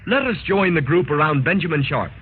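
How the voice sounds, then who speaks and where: Guy doing an old timey radio narrator, dramatic n formal, higher pitched, hissy old recording. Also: animated, brisk, lightly acted. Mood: solemn, mysterious. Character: grave announcer introducing a club of adventurers. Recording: scratchy, phone-like old radio recording, noisy.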